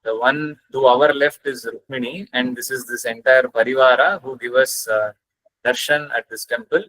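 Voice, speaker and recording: A man's voice: medium pitch, fairly even intonation, normal speed, a bit bright, no room sound, rough recording. A young man with a South Indian accent giving an online lecture over a video call, explaining ideas earnestly and reciting Sanskrit verses. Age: young adult. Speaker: guest lecturer. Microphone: webcam on video call.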